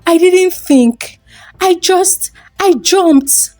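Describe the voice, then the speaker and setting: A woman's voice: very high voice, very slow, darkish tone, no room sound, clean studio sound, pitched above the performer's own voice, full character voice. A woman storyteller voicing a humble, modest fisher boy in a folktale. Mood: shy, modest.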